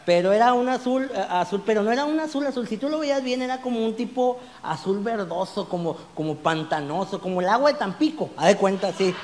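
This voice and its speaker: A man, doing an animated stand-up routine full of crude slang on a small club stage, holding a handheld mic. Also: high-pitched, brisk, hardly any pauses, big-room sound. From Mexico. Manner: animated, gesturing broadly, crude slang, rising to shouts on punchlines. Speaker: stand-up comedian.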